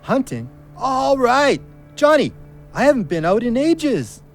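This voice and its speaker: Wary joking friend, high voice, swinging intonation, colloquial, audio drama. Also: male voice, sing-song, a little slow, faint music behind, exaggerated voice. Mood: teasing. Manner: teasing, colloquial. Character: joking, loyal hunting buddy, increasingly wary.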